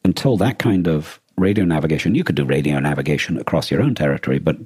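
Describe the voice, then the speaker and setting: A low-voiced man co-hosts a casual podcast discussion. His tone is dark and rough-edged rather than crisp. He explains things thoughtfully and a little hesitantly, in a very dry, close recording. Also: quick pace, no echo. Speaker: podcast co-host.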